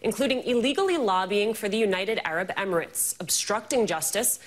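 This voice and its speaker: A woman anchors a news broadcast. She reads from a script in a voice of average pitch for a woman. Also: animated, brisk.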